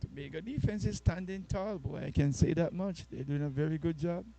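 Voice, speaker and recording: A man calls a youth soccer game with a gravelly, mellow voice. He chats and laughs with his co-commentator as the play unfolds. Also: on the high side, fairly quick. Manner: chatty, laughs easily, trades banter with co-commentator. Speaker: sports commentator.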